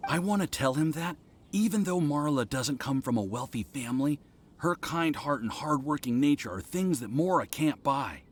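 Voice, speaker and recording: A man's voice: a little high, lively intonation, normal speed. An actor playing an anxious, earnest young man in love in a video game, hesitant and stammering. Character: shy, anxious, lovestruck young man, earnest and dutiful son. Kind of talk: video game character acting. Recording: music behind the voice.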